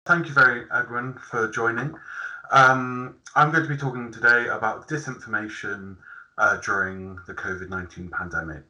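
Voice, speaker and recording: Bright-voiced young man, lecturing hesitantly. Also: mid-range voice, normal speed, muffled recording. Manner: hesitant, filler-heavy explaining. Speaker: research student. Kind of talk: online public lecture.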